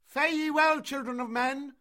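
A man's voice: high voice, expressive, unhurried, fairly bright tone, voice pushed up, full character voice. A man voicing a proud, defiant wolf-raised boy in a story, with a declamatory manner. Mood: scornful. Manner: declamatory, scornful.

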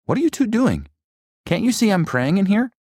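An earnest, devout nine-year-old boy from a storybook, as a man puts him on. He pleads and exclaims well above the teller's own low voice, with lively swings, in a dry close sound. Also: moderate pace, no echo. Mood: startled.

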